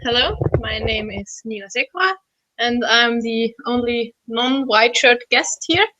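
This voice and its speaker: Young Austrian woman, physics student, slow careful delivery, bright high voice. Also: lively intonation, highs cut off. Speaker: flight planning team lead.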